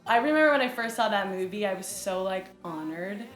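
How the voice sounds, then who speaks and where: A woman's voice: medium pitch, lively intonation, a little fast. A young adult woman, a podcast guest and singer, chatting casually and laughing often. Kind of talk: casual chat. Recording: roomy room with faint music.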